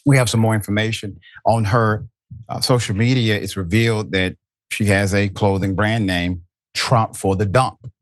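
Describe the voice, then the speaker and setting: Lower-voiced guy hosting a politics show, punchy rhetorical delivery, dry close sound. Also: steady tone, normal speed, no room sound. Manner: emphatic, rhetorical questions. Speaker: show host. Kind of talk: political commentary.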